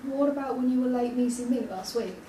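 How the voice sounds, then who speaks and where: A woman acts out a sad, frustrated young woman in a drama script read. Her voice sits slightly high. It comes from off the mic in an echoey room with clear background noise. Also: fairly quick, warm tone, lightly acted. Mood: teasing. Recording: distant, noisy, echoey room recording.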